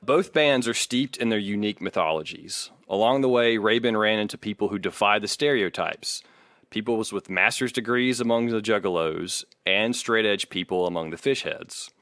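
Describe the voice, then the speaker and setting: A man co-hosting a podcast, talking casually in a measured, hesitant way and sometimes reading aloud. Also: lowish voice, a bit bright, dry recording. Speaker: podcast co-host.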